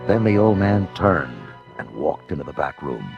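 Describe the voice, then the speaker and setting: A man narrating a scripted storybook as a dramatic, measured storyteller. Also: extremely low pitch, big pitch swings, vocal fry, dull audio. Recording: music under the narration. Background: music.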